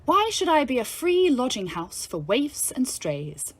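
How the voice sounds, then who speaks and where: An actress playing a brash, bawdy young ambulance driver, fearless and sardonic, in a period audio play. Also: female voice, sits high, sing-song, measured pace, full character voice. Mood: indignant, mocking. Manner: swaggering, sardonic, theatrical. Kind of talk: period audio drama acting.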